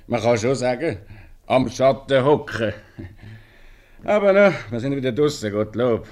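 A man's voice: mid-range voice, expressive, unhurried, a little mellow, lightly acted. An actor with a Swiss German accent playing a plain-spoken married man in a 1950s radio comedy. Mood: matter-of-fact.

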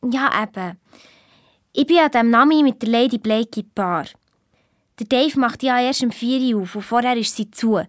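A woman's voice: on the high side, normal speed, dry recording, studio quality, lightly acted. A woman playing a practical, sceptical young schoolgirl detective with a Swiss German accent, talking in a matter-of-fact, colloquial way. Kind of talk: children's radio play, colloquial retelling.

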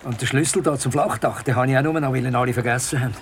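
An actor with a Swiss German accent playing a chatty, grumbling office worker in a radio drama, talking in a colloquial, animated way. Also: male voice, medium pitch, rapid-fire, heavy background noise, lightly acted. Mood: aggrieved, sarcastic. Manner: colloquial, animated griping with tag questions. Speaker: office worker in materials management.

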